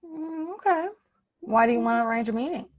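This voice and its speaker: A woman's voice: on the high side, animated, fairly quick. A woman playing a skeptical, bored female shop employee, dry and questioning, in an improvised comedy sketch.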